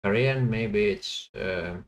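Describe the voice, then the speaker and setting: Male streamer, slightly low voice; halting, self-correcting live commentary with asides to chat. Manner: hesitant, halting, with many fillers and self-corrections; chats to viewers between guesses. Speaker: streamer playing a language-guessing game.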